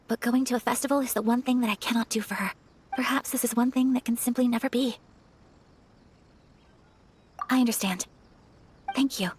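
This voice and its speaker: A woman playing a refined, earnest young noblewoman in a video game, speaking politely and formally in a gentle, hesitant way. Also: on the high side, steady tone, a little fast, breathy edge. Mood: reflective. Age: young adult. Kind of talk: video game character acting. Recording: faint music behind the voice.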